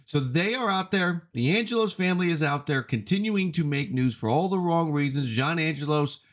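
A man's voice: a little high, lively intonation. A man hosting a sports podcast, giving opinionated commentary in an emphatic way and repeating phrases for effect. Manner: emphatic, deliberate repetition for effect.

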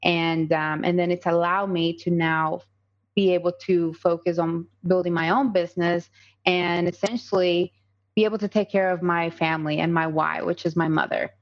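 A low-voiced Colombian woman in her thirties, a native Spanish speaker who speaks English with an accent. She talks earnestly as a podcast guest, piling up fillers and restarts. The recording sounds dull and lo-fi. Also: steady tone, moderate pace. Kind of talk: casual podcast conversation.

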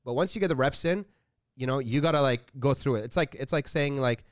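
A man co-hosting a casual podcast, agreeable and quick to chime in. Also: mid-range voice, dry recording, studio quality. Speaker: podcast co-host.

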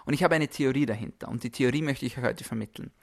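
A man hosts a motivational podcast on his own. His voice sits at a medium pitch. He speaks emphatically, leaning on rhetorical questions and repetition. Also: fast. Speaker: podcast host, health coach.

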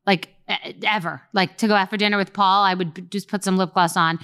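A woman's voice: mid-range voice, steady tone, a little fast, fairly bright tone, clear, resonant tone. A woman co-hosting a podcast in casual chat, talking frankly and often restarting her sentences. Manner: chatty, many restarts and self-corrections. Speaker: podcast co-host.

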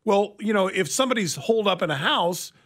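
A man's voice: high voice, expressive, brisk, fairly bright tone. An American man hosting a radio talk show and taking calls, speaking into a close studio microphone.

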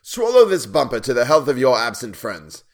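A man's voice: mid-range voice, big pitch swings, moderate pace, pitched above the performer's own voice. A man voicing a cruel, imperious storybook king, a hearty bully given to roaring and impatience. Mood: domineering, jovial. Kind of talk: storybook narration character voice.

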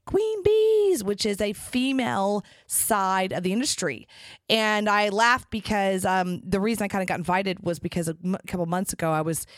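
A woman hosting a podcast in a casual, rambling monologue, her voice raspy and hoarse from being sick. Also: fairly low pitch, no echo, studio quality. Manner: rambling and chatty, with frequent restarts. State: hoarse from a respiratory infection. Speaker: podcast host.

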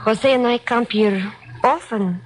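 An actress playing a proud, stubborn young Mexican widow in a 1950s radio drama, speaking formal, slightly halting English with a Mexican accent. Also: female voice, on the high side, close to an everyday voice. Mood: wistful, earnest. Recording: old 1950s radio recording.

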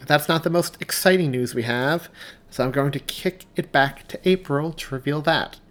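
A man's voice: a little high, moderate pace, a bit bright. A man in his thirties hosting a podcast, giving upbeat announcements in an enthusiastic, gushing, folksy way. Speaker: podcast host.

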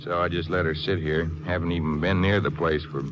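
An actor as a folksy western mine owner with a low male voice and clipped, plain-spoken phrasing. He sounds like 1950s radio drama, muffled under heavy old-recording noise. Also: steady tone, brisk, lightly acted. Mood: matter-of-fact.

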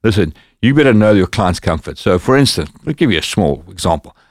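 Zimbabwean man telling animated anecdotes. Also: sits low, a little fast, no room sound, clean studio sound. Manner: animated anecdotes, lively quoted dialogue. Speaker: podcast guest, former bodyguard. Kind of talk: casual storytelling.

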